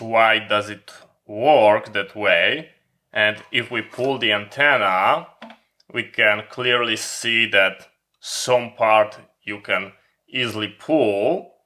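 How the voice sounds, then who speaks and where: A man giving an informal hands-on product demonstration as a hobby vlogger, explaining in deliberate, halting phrases with emphatic pauses. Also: fairly low pitch, bright, forceful tone, a little hiss or hum.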